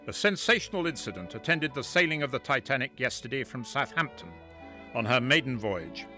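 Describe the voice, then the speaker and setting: An actor reading out a formal, urgent 1912 newspaper report for a radio drama. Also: male voice, medium pitch, expressive, fairly quick, bright, forceful tone, faint music behind. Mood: grave, urgent.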